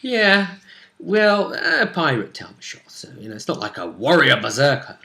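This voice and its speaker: Bright-voiced British game master, a man. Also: a little high, big pitch swings, normal speed. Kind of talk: casual recap of a tabletop role-playing session.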